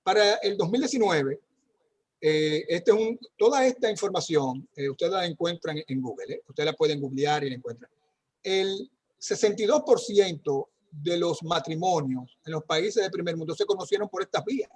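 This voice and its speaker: A man with a somewhat high, husky voice answers parents' questions on a video call. He talks conversationally, full of fillers and questioning tags. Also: moderate pace, darkish tone. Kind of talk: online talk with questions.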